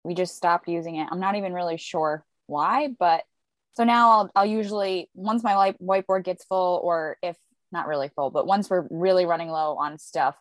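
Casting: woman, podcast co-host Lower voice, easygoing chat, dry close mic. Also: normal speed, no room sound. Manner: relaxed, rambling explanations. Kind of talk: casual chat.

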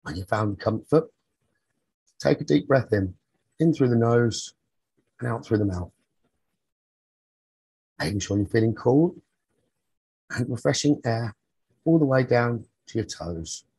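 A man leading a guided sleep meditation as a teacher, soothing and husky, speaking in short phrases with long pauses. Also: medium pitch, lively intonation, darkish tone, no echo.

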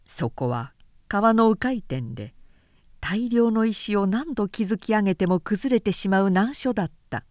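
A woman narrator giving a measured, clearly articulated reading of literary fiction in audiobook style. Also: medium pitch, animated, darkish tone, dry recording, clean studio sound.

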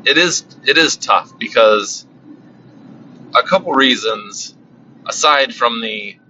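A man's voice: mid-range voice, expressive, slow, bright, forceful tone, slight background noise. A man talking casually about card collecting, rambling and repeating himself, and losing his voice. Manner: rambling, repeats words, many fillers. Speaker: card collecting channel host. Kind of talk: casual monologue. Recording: slightly dull lo-fi sound.

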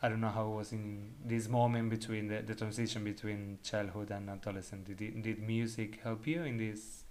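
Low-voiced man hosting a casual podcast. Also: level tone, moderate pace, few pauses, darkish tone, faint music behind. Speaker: podcast host. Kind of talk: casual conversational interview, personal reflection.

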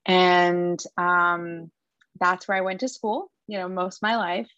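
A woman's voice: on the low side, steady tone, takes it slowly, fairly bright tone, no echo, dull audio. A woman in her thirties from Lebanon, a guest telling her story in a casual interview, earnest, with frequent restarts and fillers. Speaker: guest, entrepreneur.

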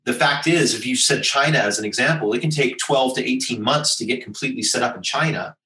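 An American man answers interview questions with emphatic, persuasive advice, stacking points in lists. His voice is bright and forceful. He sits a little back from the mic in a roomy space. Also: mid-range voice, fast talker, far from the mic. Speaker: business executive guest.

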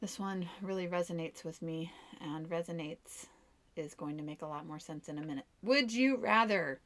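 A woman's voice: lowish voice, normal speed, a bit bright. A woman co-hosting a podcast, a mom giving upbeat, encouraging advice in casual chat. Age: adult mom. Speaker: podcast co-host.

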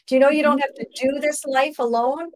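A woman's voice: sits high, fairly even intonation, fairly quick, darkish tone. A woman hosting a conversational faith interview, speaking in an effusive, affirming way. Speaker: interview host.

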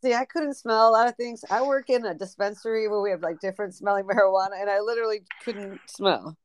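A woman's voice: medium pitch, fast talker, no room sound. A woman chatting casually and bluntly, swearing freely as she swaps stories about being sick. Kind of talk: casual chat.

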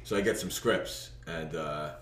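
A man's voice: rumbling low, a little slow, a bit bright. A brash, profane man hosting a loose podcast chat with his friends, swearing freely. He sits back from the mic, so his voice bounces around an echoey room. A faint hiss hangs under him. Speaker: podcast host. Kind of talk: casual chat. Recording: roomy, distant mic with faint noise.

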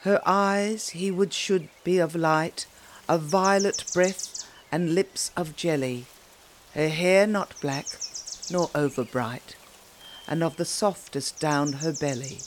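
A woman reciting poetry in a measured, dramatic way with deliberate pauses. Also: low voice, a little hiss or hum. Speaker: poetry reader.

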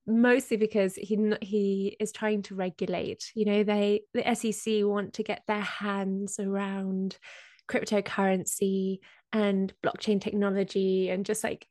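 A woman with a slight cold, explaining finance topics in a casual podcast chat with many pauses and ums. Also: medium pitch, level tone, a little mellow, no echo, studio quality. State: has a cold. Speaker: co-host explaining crypto.

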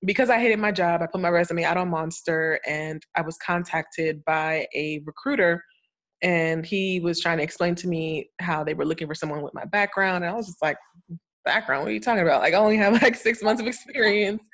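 Brief: young woman guest, slightly low pitch, easy chatty delivery, laughs between phrases. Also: a little fast, fairly bright tone. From Florida. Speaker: guest, cybersecurity consultant. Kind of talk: casual interview talk.

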